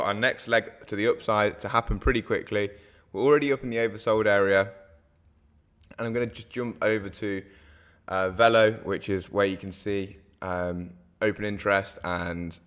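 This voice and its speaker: A hoarse man, walking viewers through market charts in a casual, rambling way, full of fillers and self-corrections. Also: fairly low pitch, a bit bright. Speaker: crypto market analyst host.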